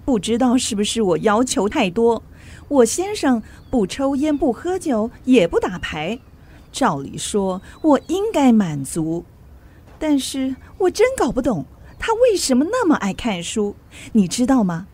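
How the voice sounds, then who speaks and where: Chatty high-voiced society lady, slightly husky. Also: sing-song, unhurried, warm tone, full character voice. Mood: exasperated complaining. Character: chatty, gossipy society lady complaining about her bookish husband. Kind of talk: Mandarin literary radio drama acting.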